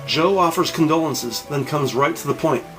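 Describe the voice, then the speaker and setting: A man reads scripted narration for a crime audio drama. His voice sits fairly high for a man and his delivery is formal and descriptive. Music is clearly heard underneath. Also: moderate pace.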